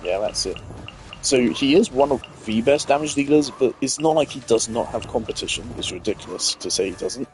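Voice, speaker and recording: A man's voice: medium pitch, expressive, a little fast, darkish tone, soft background music, rough recording. A man streaming a video game, giving casual, opinionated commentary full of filler words.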